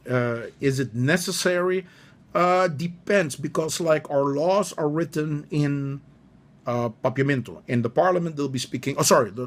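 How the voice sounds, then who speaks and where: A middle-aged man from Aruba, Dutch by first language, speaks English with an Aruban accent. His voice sits at an ordinary male pitch, and he talks casually and thoughtfully. He fills pauses with "uh" and often corrects himself partway through. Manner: thoughtful, many fillers and self-corrections. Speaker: interviewee. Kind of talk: casual interview answers.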